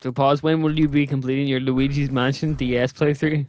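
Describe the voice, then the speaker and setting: A man's voice: medium pitch, level tone, brisk, darkish tone, slight background noise. A man chatting and teasing his friends while they play a game. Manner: teasing, joking. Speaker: game player. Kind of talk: casual gaming chat.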